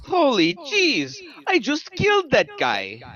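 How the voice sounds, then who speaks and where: A man's voice: very high-pitched, huge pitch swings, slow pace, sharp tone, dull audio, exaggerated voice. A man acting a reluctant veteran cop near retirement, complaining and exasperated in a screenplay table read. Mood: shocked.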